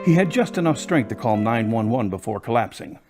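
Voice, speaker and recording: A man narrating an amateur audio drama in a plain, steady storytelling manner. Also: medium pitch, fairly quick, music in the background.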